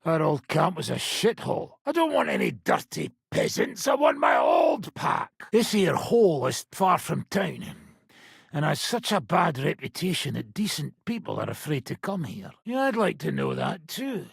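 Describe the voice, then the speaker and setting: An actor playing a foul-mouthed, swaggering mercenary gang leader in a video game, brash and sardonic, with a raspy, gravelly voice. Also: male voice, fairly high pitch, wildly swooping pitch, normal speed, dry recording, clean studio sound, heavily acted. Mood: grumbling. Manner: brash, sardonic, commanding, swearing freely. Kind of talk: game dialogue, coarse medieval banter and battle shouts.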